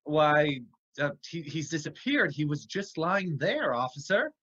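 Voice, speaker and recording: A man plays a gallant young lawyer in a vintage radio crime play, earnest and easily flustered. His voice is forceful and swings in pitch. The recording is a little dull. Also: very animated, a little slow, slightly rough, full character voice. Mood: flustered protest. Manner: hesitant, protesting. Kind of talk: old time radio drama acting. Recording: slightly muffled recording.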